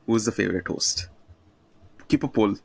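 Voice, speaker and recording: A man's voice: lowish voice, moderate pace, vocal fry, breathy edge, poor audio. A man hosting a podcast over a video call, playful and teasing, with lots of "you know". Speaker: podcast host.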